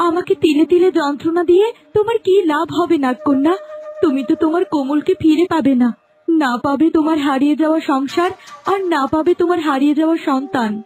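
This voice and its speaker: A woman's voice: sits high, quick pace, darkish tone, lightly acted. A woman voicing a long-suffering captive wife, plaintive and resigned, in a dramatic cartoon style.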